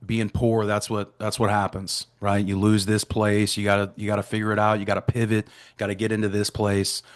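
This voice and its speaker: A man's voice: lowish voice, completely flat delivery, brisk. A man in his thirties from Florida, a guest on a video call, openly telling his life story and often adding "right?" and "man". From Florida, USA. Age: 30s. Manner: open, leans on "right?" and "man". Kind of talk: candid personal storytelling. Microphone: remote video call.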